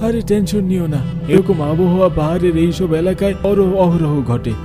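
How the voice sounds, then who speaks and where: A man doing a calm, reassuring young male trekker. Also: high-pitched, normal speed, voice pushed up, natural voice. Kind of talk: cartoon voice acting. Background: loud music.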